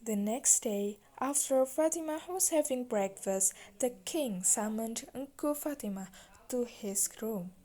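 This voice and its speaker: A woman's voice: a little high, expressive, measured pace, warm tone, soft background music. A woman from Indonesia narrating a children's story as a scripted storyteller for a puppet show.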